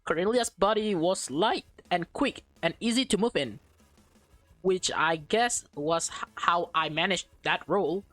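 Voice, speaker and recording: A woman doing a let's play, reading a visual novel aloud with expressive delivery, casual reacting asides and the occasional stumble over words. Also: fairly low pitch, unhurried, dry recording, faint music behind.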